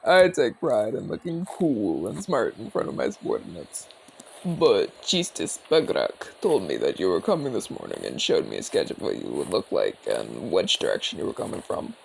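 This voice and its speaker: A man's voice: low-pitched, huge pitch swings, normal speed, vocal fry, a little hiss or hum, exaggerated voice, poor audio. A man playing a seasoned, cocky but easygoing orc commander in an audio roleplay, talking in a casual, teasing, explanatory way. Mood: relaxed, confiding. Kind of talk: ASMR audio roleplay acting.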